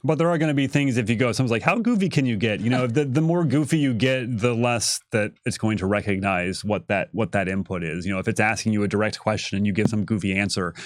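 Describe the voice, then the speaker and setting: A man's voice: on the low side, fast, few pauses, dry recording, clean studio sound. A man talking conversationally in a livestream Q&A, explaining things and restarting his phrases. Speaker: creative director co-presenting on a livestream. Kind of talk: unscripted livestream Q&A.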